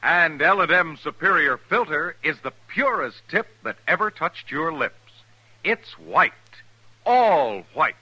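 A man's voice: a little high, sing-song, a little slow, sharp tone, husky, rough recording. A man with an American accent delivering a 1950s radio advertisement as an announcer. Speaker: commercial announcer.

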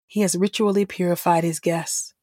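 A woman reading scripture from a script as a podcast host, in a measured, formal manner. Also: on the low side, steady tone, mellow, no echo, studio quality. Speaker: Bible reader and podcast host.

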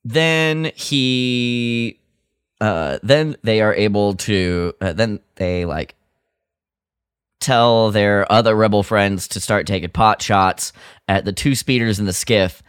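A man's voice: on the low side, slow, clean tone, no room sound, clean studio sound. A man co-hosting a podcast, chatting casually and jokingly as he recaps and critiques a story with some exasperation. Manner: exasperated, jokey, mimics characters' lines. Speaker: podcast co-host. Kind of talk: casual chat, recapping and critiquing a radio drama.

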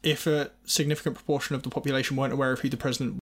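A man's voice: medium pitch, fairly even intonation, racing. A man interviewing a guest, asking long, winding questions and apologizing politely.

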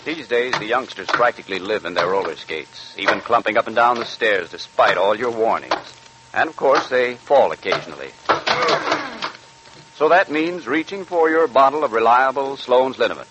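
A man's voice: mid-range voice, lively intonation, moderate pace, heavy background noise. An American man announcing on 1940s radio in a polished, scripted advertising style. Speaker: radio announcer reading a sponsor ad.

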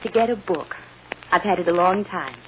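Radio drama mother, played by a woman, sorrowful and loving, hushed halting delivery, muffled vintage recording. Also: on the low side, lively intonation, slight background noise, lightly acted. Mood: earnest, loving. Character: tender, sorrowful mother comforting her small daughter. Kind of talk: 1940s radio drama acting.

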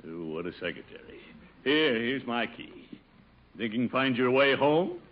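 A blustery, swooping pompous boss. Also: male voice, medium pitch, big pitch swings, normal speed. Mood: smug. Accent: American. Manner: blustery, theatrical, muttering to himself. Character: pompous, self-satisfied, exasperated male boss and water commissioner. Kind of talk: 1950s radio situation comedy acting. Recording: muffled, noisy 1950 radio recording.

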